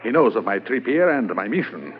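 An actor playing a calm, confident undercover policeman in a 1950s radio drama. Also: medium pitch, fairly quick, natural voice. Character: undercover Canadian policeman posing as smuggler. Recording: dull old radio transcription, faint hiss.

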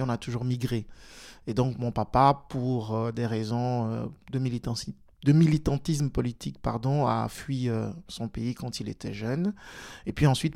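A man's voice: mid-range voice, vocal fry, a little mellow. A man from Togo, speaking as an interview guest, hesitant and full of fillers and restarts. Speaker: guest, politician and teacher. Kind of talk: casual interview talk.

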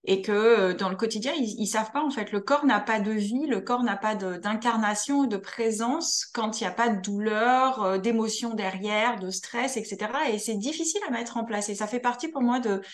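A woman's voice: a little high, normal speed, few pauses. Reflective woman hosting a talk podcast. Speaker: podcast host. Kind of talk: conversational interview.

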